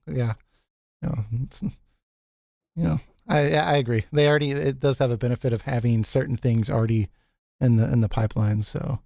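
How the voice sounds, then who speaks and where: Deep-voiced man, dry close sound. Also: steady tone, moderate pace, gravelly, pauses often, warm tone, no room sound. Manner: easygoing, laughs often, restarts sentences. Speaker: podcast host. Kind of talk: casual group chat.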